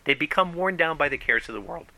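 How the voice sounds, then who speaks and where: Male preacher, conversational sermon, gravelly voice with lively pitch. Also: quick pace. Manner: animated, conversational preaching with rising emphasis. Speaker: pastor.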